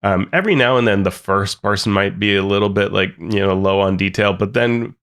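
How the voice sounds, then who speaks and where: Deep, gravelly man on a podcast. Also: fairly quick, fairly bright tone. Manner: chatty, filler-heavy, full of asides. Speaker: podcast co-host. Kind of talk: casual podcast discussion.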